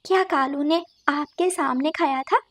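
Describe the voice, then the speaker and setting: Woman as a high-voiced, sensible cartoon sparrow. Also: unhurried, fairly bright tone. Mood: scolding, concerned. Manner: reproachful, sensible. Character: wise, protective, scolding female sparrow. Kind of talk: Hindi children's cartoon dialogue.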